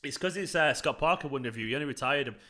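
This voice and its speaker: A young man with a South Manchester accent talks loosely and at length to his viewers. His voice is bright and forward, and he wanders from thought to thought in casual chat. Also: medium pitch, expressive, fast talker. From South Manchester, England. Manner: chatty, rambling, talks to viewers. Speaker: fan channel host. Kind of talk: live football watchalong chat.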